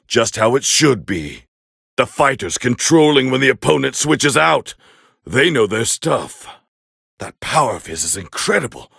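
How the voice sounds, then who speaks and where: Proud alien fighter prince, raspy, high-pitched for a man, swooping delivery, scornful, short-tempered, anime game commentary. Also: no room sound, clean studio sound, heavily acted. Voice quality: gravelly, raspy. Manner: haughty, scornful, biting, forceful. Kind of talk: video game anime dub voice lines.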